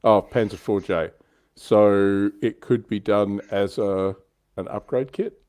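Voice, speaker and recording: A man's voice: lowish voice, steady tone, slow, a little mellow. A man hosting a livestream, chatting casually and explaining details of a hobby. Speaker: livestream host.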